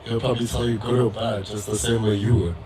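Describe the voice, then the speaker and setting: Young man, low voice, flat delivery, measured and reflective, faint background ambience. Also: slight background noise. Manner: measured, pausing often with fillers. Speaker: audience member in a community dialogue. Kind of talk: reflective public discussion. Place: event hall with audience.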